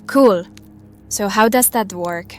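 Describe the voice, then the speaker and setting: A woman's voice: mid-range voice, slow pace, a little mellow, faint music behind, lightly acted. A woman playing a plucky young survivor in an audio drama, with a wry, casual, direct way of talking. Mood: wry, curious. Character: wry, plucky young female survivor seeking to stop an apocalypse.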